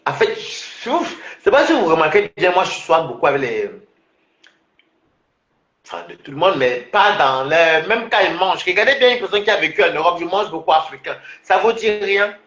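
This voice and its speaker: A man's voice: sits high, expressive, moderate pace, fairly bright tone, echoey room, muffled recording. Rambling man from Cameroon talking casually. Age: adult. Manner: rambling, many restarts and fillers. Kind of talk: casual personal monologue.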